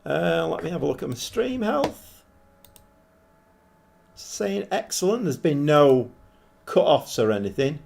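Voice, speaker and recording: A man with a croaky, hoarse voice, chatting casually as he streams a game and thinks aloud. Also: on the high side, big pitch swings, measured pace, pauses often, a little mellow. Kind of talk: casual live-stream commentary. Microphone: desk microphone.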